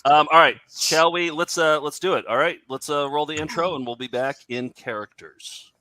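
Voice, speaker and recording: A man hosts a loose group chat with a bright, forward voice. He is excitable and jokey, speaking casually. The sound is dry and close. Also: fairly high pitch, normal speed, no echo. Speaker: host of a cartoon comedy show.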